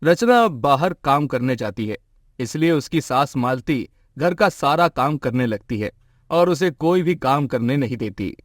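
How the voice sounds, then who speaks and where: A man's voice: mid-range voice, expressive, measured pace, dry recording, clean studio sound. A man narrating a scripted moral story as a storyteller.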